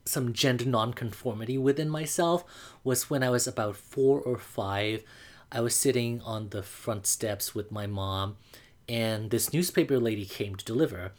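A man, calmly telling a personal coming-out story to the camera, often pausing on "like" as he thinks. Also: mid-range voice. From Burma. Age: 20s. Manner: reflective, unhurried, frequent "like" fillers.

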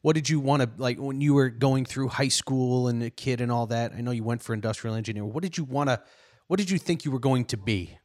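A man's voice: mid-range voice, quick pace, a little mellow, no room sound. Casual joking male podcast host, dry sound. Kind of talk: casual interview conversation.

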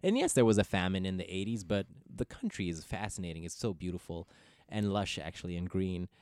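Man in his thirties, very low voice, smooth and clear, dry close sound, lively storyteller, laughs a lot. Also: a little fast, no room sound. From Ethiopia. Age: 30s. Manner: animated storyteller who laughs often. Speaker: guest comedian. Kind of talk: casual interview chat.

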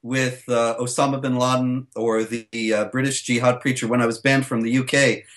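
Steady, explanatory male guest. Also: medium pitch, moderate pace. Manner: steady, explanatory, with frequent uh fillers. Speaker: guest, author. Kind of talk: interview answers.

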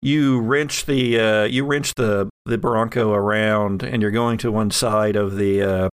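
A man working as game master, narrating an improvised tabletop horror game in halting, pieced-together descriptions. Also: on the low side, steady tone, clear, resonant tone, dry recording.

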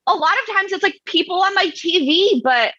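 A woman's voice: extremely high pitch, brisk, sharp tone, lo-fi sound. A woman co-hosting a podcast, chatting casually and making dry, wry quips. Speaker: podcast co-host. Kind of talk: casual chat.

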